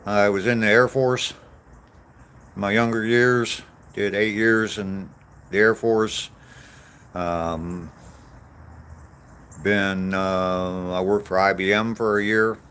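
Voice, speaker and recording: Man, 60s, very low voice, casual rambling, self-deprecating, vlog walk chat. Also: steady tone, takes it slowly, long pauses, fairly bright tone, a little hiss or hum. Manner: meandering, self-deprecating, answers viewers aloud. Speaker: vlogger reading live chat on a walk. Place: outdoors on a walk.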